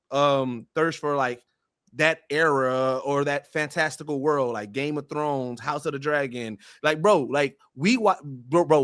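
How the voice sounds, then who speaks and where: A man's voice: a little high, no room sound, clean studio sound. A man chatting casually and in slang as a podcast panelist. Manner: slangy, animated phrasing with frequent "bro" and "like".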